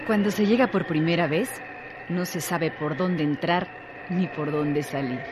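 A man performing as a grave radio drama narrator, measured and literary in his delivery. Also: fairly high pitch, close to an everyday voice. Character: male storyteller describing a desolate desert house. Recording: very noisy track with ambience.

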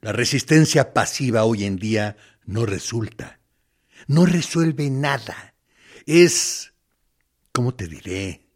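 An actor playing a smug military interrogator in a radio play, speaking in a theatrical, sardonic, mock-courteous way with quiet menace. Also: male voice, mid-range voice, expressive, slightly rough. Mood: condescending. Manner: deliberate, sardonic, condescending, with theatrical pauses. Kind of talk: theatrical radio drama monologue.

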